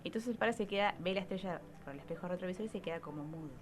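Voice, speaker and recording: A woman's voice: on the low side, rapid-fire. A woman with an Argentine accent talking conversationally as an expert radio guest, explaining things and often checking with little tag questions. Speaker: psychologist guest columnist.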